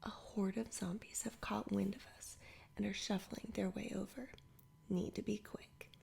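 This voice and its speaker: A woman reading a children's adventure story aloud entirely in a soft whisper, in ASMR style. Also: mid-range voice, level tone, moderate pace, creaky. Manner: whispers throughout. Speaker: storybook reader.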